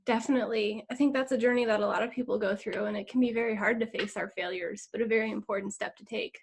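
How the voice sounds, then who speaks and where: A woman's voice: medium pitch, steady tone, quick pace, creaky, husky. A woman hosting a conversational interview, polite and affirming as she asks questions. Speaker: interview host.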